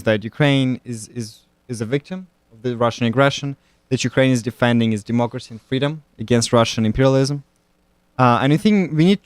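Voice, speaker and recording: A young man with a smooth, resonant voice answers radio questions deliberately, pausing often as he thinks. The close mic makes the sound dry and direct. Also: medium pitch, darkish tone, dry recording. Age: young adult. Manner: deliberate, hesitant, many fillers. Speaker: student guest. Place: radio studio.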